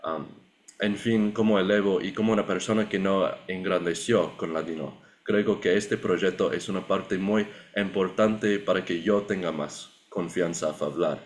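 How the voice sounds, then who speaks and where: A man's voice: deep voice, measured pace. A young man, a language learner and project participant, reading a prepared presentation with careful self-corrections. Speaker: graduate student and project participant. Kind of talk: prepared presentation read aloud.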